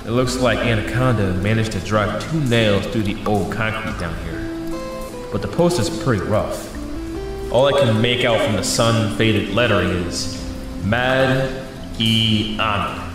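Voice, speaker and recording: An actor playing a weary, injured young male superhero held captive, narrating a halting inner monologue in an audio drama. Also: lowish voice, close to an everyday voice. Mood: weary, pensive. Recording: echoing room with music underneath. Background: music.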